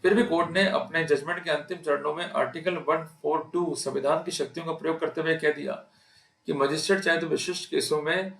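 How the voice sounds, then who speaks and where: A man's voice: fairly high pitch, vocal fry, echoey room. A man with an Indian accent giving an explanatory law lecture, emphatic, putting rhetorical questions to his listeners. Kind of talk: explanatory legal lecture mixing Hindi and English terms.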